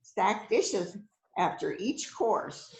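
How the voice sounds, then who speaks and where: Woman playing a deliberate, musing detective, echoey room. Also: mid-range voice, lively intonation, noisy background. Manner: deliberate, musing with frequent hmms. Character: shrewd, self-assured police detective, the boss, questioning suspects. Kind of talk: amateur readers theater murder mystery. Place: indoors on a virtual event call. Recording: roomy echoing video call sound.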